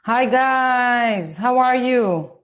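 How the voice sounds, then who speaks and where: Slow-spoken Israeli woman giving a talk. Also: on the high side. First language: Hebrew. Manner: slow, deliberate, and careful for her listeners. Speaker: parenting speaker. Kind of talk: live online talk to parents. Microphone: phone.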